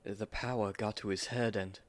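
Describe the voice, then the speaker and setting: A man voicing a devoted young gentleman husband, gentle, earnest and formal, in a romantic audio roleplay. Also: on the low side, fairly even intonation, moderate pace, close to an everyday voice. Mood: earnest, troubled.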